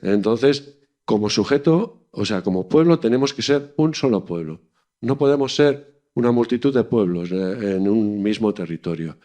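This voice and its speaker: A man from Catalonia speaking earnestly in a political discussion, often pausing to search for his words. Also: lowish voice, animated, warm tone. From Catalonia, Spain.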